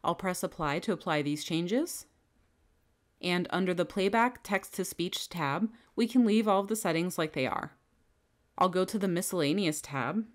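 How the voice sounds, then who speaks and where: A woman with a somewhat low voice narrates a scripted software tutorial as the trainer. She walks through each setting in a calm, methodical way, one click at a time. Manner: calm, methodical step-by-step instructions. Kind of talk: scripted screen-recording tutorial. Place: voice-over over a screen recording.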